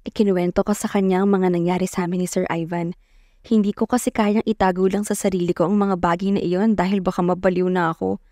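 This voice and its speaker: A woman's voice: lowish voice, fairly even intonation, fast talker, warm tone, lightly acted. An actress playing a poor, determined, lovestruck young provincial woman who narrates her own story earnestly and introspectively. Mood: confiding. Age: young adult. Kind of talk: scripted first-person dramatic narration. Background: music.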